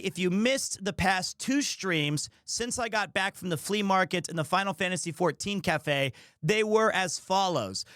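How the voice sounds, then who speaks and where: A man hosting a livestream, talking casually to his audience in a rambling, jokey, expressive way. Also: high voice, no room sound, clean studio sound. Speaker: livestreamer and host. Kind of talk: casual chat to a stream audience.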